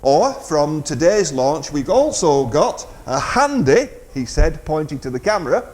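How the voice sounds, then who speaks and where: A man's voice: fairly high pitch, sing-song, unhurried, darkish tone. A man presenting live community radio, making a fundraising announcement in an informal, hesitant, bantering way.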